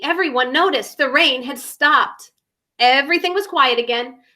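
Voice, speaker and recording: A woman's voice: high voice, pushed and bright. A woman reading a children's story aloud, dramatically and with careful emphasis on sound words.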